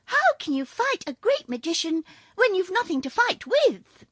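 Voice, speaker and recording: A woman voicing a teasing patchwork rag-doll girl in a read-aloud storybook, high and loud. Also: very animated, normal speed, no echo, clean studio sound, full character voice. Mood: gleeful.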